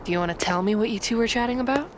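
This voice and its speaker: An actress playing a frank, loyal monster heroine in a radio drama, direct and plainspoken. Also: female voice, on the high side, rapid-fire, noisy background, close to an everyday voice. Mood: probing. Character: frank, loyal female monster with canine hearing. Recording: faint music behind.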